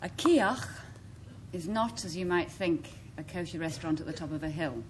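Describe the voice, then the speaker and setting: A woman on a panel game, quick-witted and self-mocking as she bluffs a definition. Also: lowish voice, slight background noise, poor audio.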